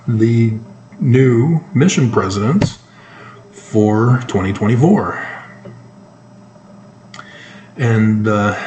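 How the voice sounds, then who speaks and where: A man with a North American accent giving informal, sarcastic news commentary, halting often mid-sentence. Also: on the low side, darkish tone. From North America, moved around the United States and Canada. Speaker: solo commentator. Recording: echoey room with faint background noise.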